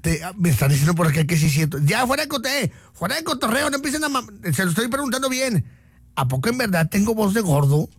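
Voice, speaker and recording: A man's voice: sits high, expressive, fast talker, breathy edge, no room sound. A man with a Mexican Spanish accent hosting a call-in show, joking, teasing and full of slang. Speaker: radio host. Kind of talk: casual call-in banter. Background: loud music.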